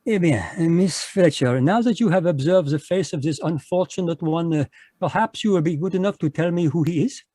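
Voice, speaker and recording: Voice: mid-range voice, a little fast, warm tone, no echo, lightly acted. A performer voicing a fussy, polite, shrewd Belgian male detective with a Belgian French accent, speaking courtly and hesitant in a live radio drama read over a video call. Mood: probing.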